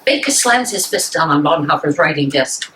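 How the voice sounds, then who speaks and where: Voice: medium pitch, expressive, normal speed, sharp tone, rough recording. A narrator reading out scripted stage directions at a live dramatic reading.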